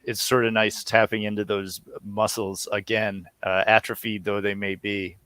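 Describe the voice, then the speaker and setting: A man's voice: fairly low pitch, little pitch movement, moderate pace, fairly bright tone, smooth, no echo. A man chatting casually as an interview guest, with a laid-back, wry manner. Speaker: podcast guest, audio series co-creator.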